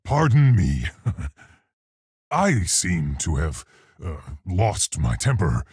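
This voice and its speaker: An actor playing a big, earnest, awkward scientist gorilla in a video game, with a gravelly, husky voice and deliberate, scholarly phrasing. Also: male voice, deep voice, huge pitch swings, a little mellow, no echo, clean studio sound, full character voice. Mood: awkward, polite. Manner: deliberate, precise, scholarly, hesitant. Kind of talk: video game character voice lines.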